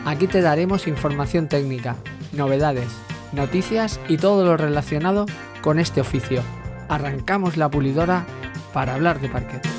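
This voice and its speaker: A man's voice: a little high, moderate pace. A middle-aged man presenting a scripted podcast introduction as its host. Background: music bed.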